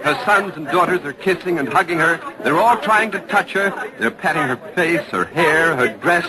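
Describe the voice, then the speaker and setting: An actor playing an earnest radio news reporter, giving dramatic, urgent and articulate live commentary in 1940s style. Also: male voice, a little high, lively intonation, moderate pace, close to an everyday voice, rough recording. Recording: old radio broadcast with crowd murmur.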